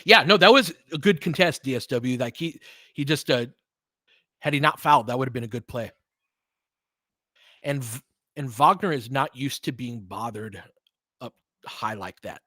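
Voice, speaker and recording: A man's voice: medium pitch, animated, normal speed, pauses often, sharp tone, no room sound. A man doing casual, conversational live basketball commentary as a play-by-play host, breaking down the action like an analytical fan and chatting with listeners. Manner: conversational, analytical fan talk with mild slang.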